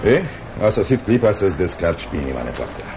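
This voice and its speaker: A man's voice: low-pitched, sing-song, over music. An actor in a radio play playing a stern, scornful older uncle, a cold businessman with a curt, condescending manner. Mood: scornful, impatient. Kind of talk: Romanian radio theatre acting. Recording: muffled, noisy old radio recording.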